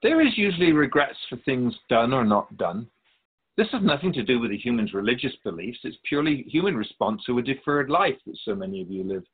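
A man playing a wise otherworldly keeper of souls in a radio drama, speaking in a measured, philosophical, instructive way. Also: medium pitch, sing-song, breathy edge, phone-quality sound. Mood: calm, instructive. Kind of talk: radio drama dialogue in interview form.

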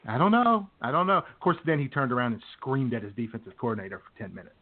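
A man's voice: medium pitch, very animated, quick pace, slight background noise. A man co-hosting a radio show, chatting casually about sports. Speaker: co-host of a comedy radio show. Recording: tinny phone-like narrow band.

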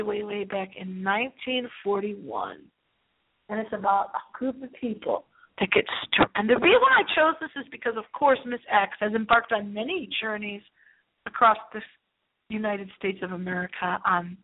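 A woman's voice: medium pitch, big pitch swings, unhurried, husky. A woman hosting a paranormal radio show in a rambling, theatrical monologue, repeating phrases for emphasis. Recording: thin, narrow phone-line sound.

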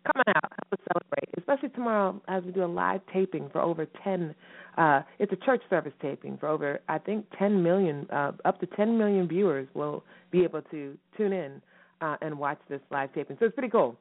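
Hoarse woman radio host over a phone line. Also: on the low side, a little fast. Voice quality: raspy. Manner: lively, chatty, folksy. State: hoarse, recovering from a cough. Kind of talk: casual radio chat.

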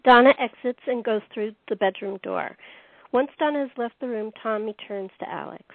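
A woman's voice: fairly high pitch, moderate pace, vocal fry, dry recording. A woman narrating a radio play from a script, reading out stage directions. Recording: thin phone-like line.

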